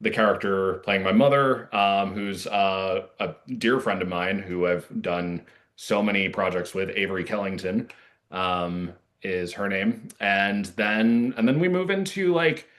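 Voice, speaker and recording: Low-pitched man, earnest and halting. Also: a bit bright. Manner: earnest, halting phrasing with frequent "like". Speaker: playwright and actor, interview guest. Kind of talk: casual interview conversation.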